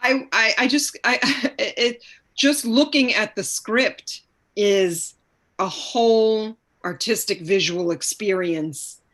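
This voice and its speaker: A woman's voice: mid-range voice, slow pace, fairly bright tone. A woman speaking as a guest in an informal panel chat, reflective, effusive and emphatic as she searches for words. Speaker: stage actor, panel guest.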